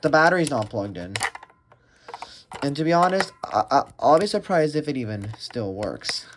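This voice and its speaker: A man's voice: mid-range voice, big pitch swings, moderate pace. A man giving a casual, hesitant, rambling unboxing commentary, often restarting his sentences. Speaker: vlogger unboxing radios. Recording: noisy home recording with handling clicks.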